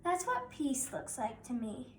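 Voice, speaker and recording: A woman's voice: sits high, very animated, measured pace, a bit bright, far from the mic. A young girl of about nine reading a children's picture book aloud as narrator. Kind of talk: scripted children's story narration. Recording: roomy echo, faint background noise.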